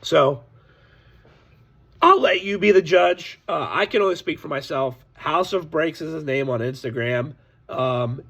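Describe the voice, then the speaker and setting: A hoarse man with a slightly high, gravelly voice speaks casually and candidly to his viewers. His tone is raspy and worn, complaining about a bad deal while warning his audience. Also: a bit bright, lo-fi sound. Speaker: sports card seller. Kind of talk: casual direct-to-camera vlog.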